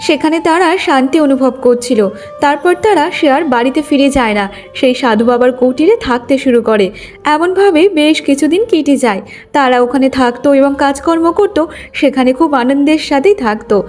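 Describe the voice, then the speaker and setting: A woman's voice: sits high, darkish tone. A woman storyteller reading scripted narration for a moral tale.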